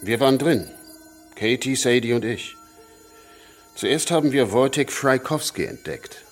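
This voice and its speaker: A man's voice: medium pitch, animated, measured pace, close to an everyday voice. An actor playing a cold-blooded killer who recounts his deeds matter-of-factly in a radio play. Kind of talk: radio play monologue in testimony form. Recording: studio voice over music. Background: music.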